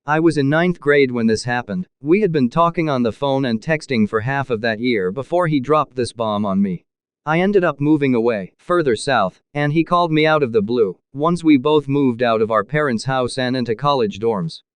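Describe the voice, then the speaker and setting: A man with a smooth, clear voice reads forum posts aloud in an even, matter-of-fact way. The sound is dry and close, with no room around it. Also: mid-range voice, moderate pace, dry recording. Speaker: narrator reading posts.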